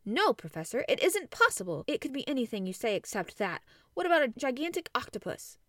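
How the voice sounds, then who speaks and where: A woman's voice: a little high, big pitch swings, a little fast, fairly bright tone, no room sound, studio quality, pitched above the performer's own voice. A woman voicing an impish, stubborn male Canadian harpooner in a storybook reading, speaking emphatically.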